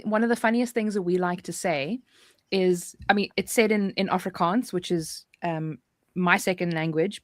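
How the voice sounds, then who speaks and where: A woman with a South African accent, chatting in a jokey, animated way as a livestream co-host. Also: on the low side, no room sound, studio quality. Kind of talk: casual livestream chat.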